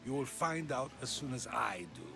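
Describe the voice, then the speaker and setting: An actor playing a grave, idealistic older Athenian statesman in a video game, speaking in a measured, oratorical way. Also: mid-range voice, animated, gravelly. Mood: grave, resolute. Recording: music under the voice.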